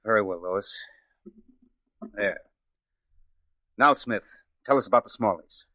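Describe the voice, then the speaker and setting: An actor in 1940s radio style playing a boyish young suitor who is secretly a cold-blooded crook, tense and indignant. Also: male voice, fairly low pitch, measured pace, sharp tone. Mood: protective.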